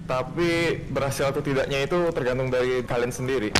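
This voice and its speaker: A man's voice: medium pitch, steady tone, fast talker, noisy background, natural voice. An Indonesian man voicing a confident, demanding dragon mentor in an amateur game roleplay. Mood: instructive, confident. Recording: music and rain-like sound behind.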